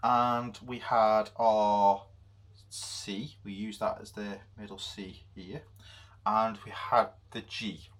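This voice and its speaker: A man with a deep voice giving an unscripted music theory lesson, with many pauses and fillers. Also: level tone, fairly bright tone. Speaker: music teacher.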